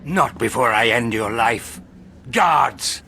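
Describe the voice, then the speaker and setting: A scornful, threatening villain. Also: male voice, medium pitch, expressive, slow pace, gravelly, pushed and bright, slight background noise, heavily acted. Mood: menacing. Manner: menacing, scornful. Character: hostile, threatening hostage-taking villain. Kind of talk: dramatic video game acting.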